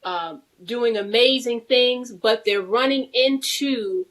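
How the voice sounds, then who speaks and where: A woman with a somewhat high voice hosts a casual live interview. She speaks informally and playfully, as a talk show host in conversation with guests. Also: takes it slowly, fairly bright tone.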